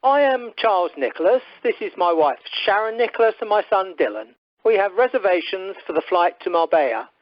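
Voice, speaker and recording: A woman's voice: mid-range voice, lively intonation, brisk, dry recording, natural voice. A woman playing a pompous, irritable middle-aged husband in an amateur radio comedy. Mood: stuffy. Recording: dull, dry telephone conference line.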